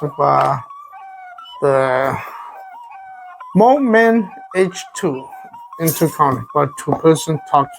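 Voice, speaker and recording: A man who is a native Vietnamese speaker gives a casual, unscripted product unboxing, haltingly searching for words. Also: on the high side, a little hiss or hum, poor audio.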